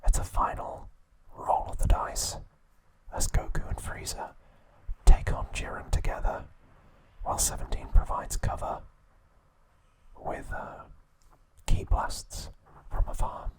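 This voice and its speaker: A man hosting an ASMR video, recapping a story in a soft, unvoiced whisper. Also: takes it slowly, poor audio. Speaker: ASMR host.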